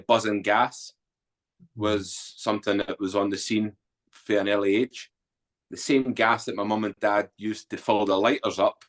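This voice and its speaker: A low-voiced man with an Edinburgh Scottish accent, in his forties. He tells his story candidly as a podcast guest, blunt and earthy, often pausing on an um. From Scotland. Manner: blunt, earthy, unguarded talk with frequent ums. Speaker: guest, author and former soldier.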